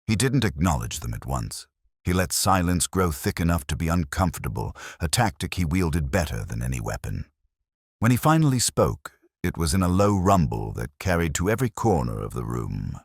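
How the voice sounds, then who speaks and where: Male audiobook narrator for hardboiled mystery: husky, dry close sound, dramatic, wry first-person delivery. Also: a bass voice, dry recording. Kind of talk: scripted first-person detective story narration.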